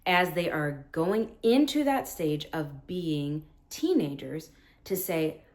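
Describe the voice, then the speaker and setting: Earnest teacher lady talking to other teachers, acts out her own lines, lowish voice. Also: lively intonation, slow pace. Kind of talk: talking to fellow teachers about classroom practice.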